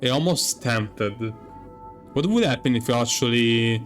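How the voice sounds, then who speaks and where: A man's voice: mid-range voice, lively intonation, unhurried, soft background music. A man giving casual playthrough commentary, rambling and hesitant, swearing as he thinks aloud. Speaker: gaming streamer.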